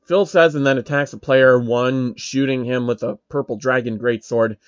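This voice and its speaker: A man's voice: mid-range voice, steady tone, normal speed, clear, resonant tone, no room sound, highs cut off. A man narrating fan fiction in an informal, comic way, stumbling over the text.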